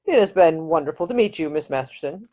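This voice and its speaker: A woman's voice: deep voice, lively intonation, quick pace, warm tone. Older nobleman, formal, gracious, commanding, historical audiobook, voiced by a woman. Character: courteous older marquis, commanding father.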